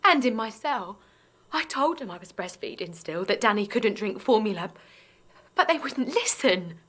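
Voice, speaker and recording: A woman's voice: mid-range voice, sing-song, moderate pace, a bit bright, no echo. Anxious young mother. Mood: distressed bewilderment. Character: anxious, naive young English mother, shaken and vulnerable. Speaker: actor and narrator in an audio drama. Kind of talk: intimate first-person audio drama acting.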